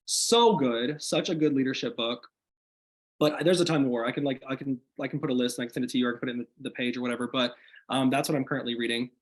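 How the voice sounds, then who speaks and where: A man sharing business tips on a video call, talking in an energetic, motivational way with lots of "like" fillers, his voice slightly hoarse after being sick. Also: mid-range voice, quick pace, poor audio. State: slightly hoarse, recovering from illness. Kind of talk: casual video call chat. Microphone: video call microphone.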